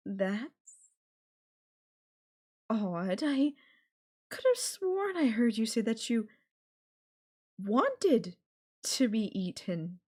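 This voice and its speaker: A woman's voice: on the high side, very animated, darkish tone, husky, full character voice. A woman playing an obsessive, menacing yandere girlfriend in an intimate audio roleplay, speaking to her captive lover with condescending, coaxing sweetness. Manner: sweetly condescending, coaxing, threatening, with lingering pauses.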